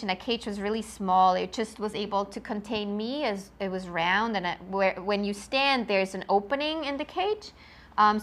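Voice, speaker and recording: Swiss accent lady scientist, bright voice, eager explaining, lots of ums. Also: mid-range voice. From Switzerland. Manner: enthusiastic, explanatory, many ums. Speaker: marine biologist guest. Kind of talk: interview answers.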